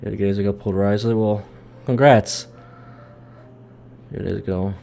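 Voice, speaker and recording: A man's voice: lowish voice, steady tone, moderate pace, creaky, dark tone, slight background noise. A man live-streaming a game, chatting informally and reacting aloud in a joking way. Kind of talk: casual live-stream commentary. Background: game music.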